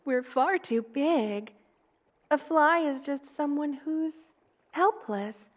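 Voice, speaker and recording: Woman acting a high-voiced, slow-speaking motherly spider queen. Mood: gently amused, explaining. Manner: soothing, tender, coaxing, with slow careful delivery. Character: gentle motherly spider queen, doting and protective monster woman. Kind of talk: intimate ASMR roleplay addressed to the listener.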